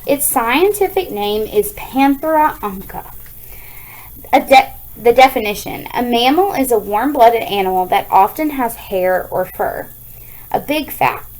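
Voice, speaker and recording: An American woman with a fairly high voice speaks as an elementary school teacher. She reads aloud to children and breaks off into chatty, explanatory asides, often checking that her listeners are following. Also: low-quality recording.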